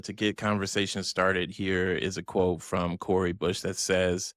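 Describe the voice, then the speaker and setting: A man hosting a podcast interview, speaking in an earnest, gracious way, reading a slow scripted opening. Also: on the low side, fairly bright tone, no room sound, clean studio sound. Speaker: podcast host.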